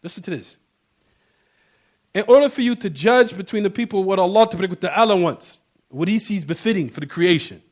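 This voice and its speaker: Male Islamic class teacher, notably high voice, emphatic informal lecturing with repetition and rhetorical check-ins. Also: a little fast, a little mellow. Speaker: religious teacher giving a class.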